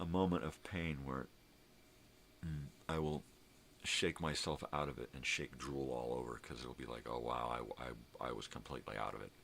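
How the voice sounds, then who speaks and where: An older man with a very deep, gravelly voice chats casually in a rambling, drawn-out way, as a vlog host. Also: fairly bright tone, a little hiss or hum. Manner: rambling, drawn-out, mild swearing.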